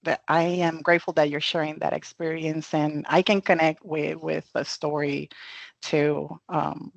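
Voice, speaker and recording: A woman's voice: low voice, level tone, unhurried, gravelly, no echo, lo-fi sound. A woman co-hosting a podcast, speaking earnestly and hesitantly with many restarts. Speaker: podcast co-host. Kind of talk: casual interview chat.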